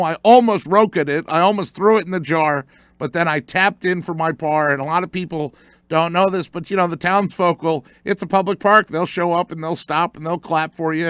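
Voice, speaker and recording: A man's voice: a little high, fairly bright tone, clear, resonant tone, dry recording. An older American man hosting a sports radio show, chatting casually and telling stories with many fillers. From United States.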